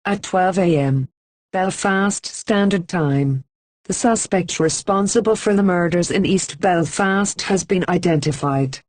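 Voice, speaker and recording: A woman reading a scripted emergency broadcast announcement in an even, formal manner with slow, deliberate pauses. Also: lowish voice, no room sound.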